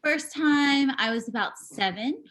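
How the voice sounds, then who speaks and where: A woman giving a live spoken interpretation of a signing performer, speaking in halting bursts with pauses and restarts, over a video call. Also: sits high, expressive, a bit bright. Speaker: sign language voice interpreter.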